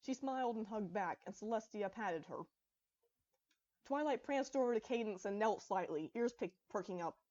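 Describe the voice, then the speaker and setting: A woman's voice: medium pitch, animated, moderate pace, a little mellow. Woman narrating, slightly dull recording. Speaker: fan fiction reader and narrator. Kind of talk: scripted story narration.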